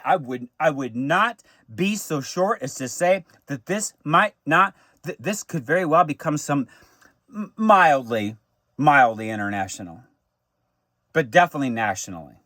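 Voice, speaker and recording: Casting: American male for an off-the-cuff personal monologue, fairly high voice, stammered restarts, emphatic repetition, very dry recording. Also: a bit bright, no echo. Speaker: vlogger recounting personal grievances.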